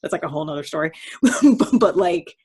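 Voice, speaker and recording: Low-pitched, slightly husky woman hosting casually. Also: fast talker, mellow. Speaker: host. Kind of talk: casual conversational interview.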